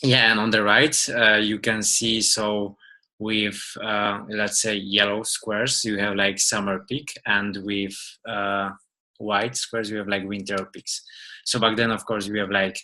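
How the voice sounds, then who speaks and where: A man in his twenties with a Polish accent, presenting slides informally and haltingly. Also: fairly low pitch, level tone, pushed and bright. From Poland. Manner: halting, with frequent filler words. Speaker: webinar presenter.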